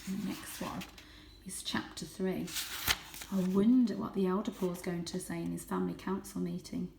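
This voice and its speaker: A woman reading a children's story aloud as host, with expressive, dramatic and suspenseful narration. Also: on the low side, normal speed, vocal fry, noisy background. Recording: echoey room.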